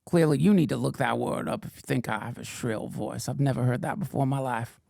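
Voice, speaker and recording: A middle-aged man hosting a comedic stream, riffing in a mock-indignant persona that swings from whispers to shouts. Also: medium pitch, a little fast, vocal fry, dry recording, studio quality. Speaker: podcast host reading chat questions.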